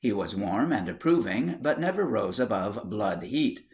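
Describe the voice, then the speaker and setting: A man reading a comic period short story as scripted narration, in a measured, literary storytelling manner. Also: fairly low pitch, sing-song, creaky, darkish tone. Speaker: audiobook narrator.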